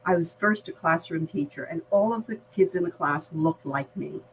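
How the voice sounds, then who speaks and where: Older American-accented woman, slightly low voice. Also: slight background noise, low-quality recording. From Barbados. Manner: measured, articulate, explanatory. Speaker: veteran diversity practitioner, podcast guest. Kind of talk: reflective conversational interview.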